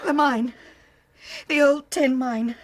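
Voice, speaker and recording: An actress playing a frightened, remorseful wife in a radio drama, fraught and pleading. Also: female voice, high-pitched, fairly even intonation, slow pace. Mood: fearful warning.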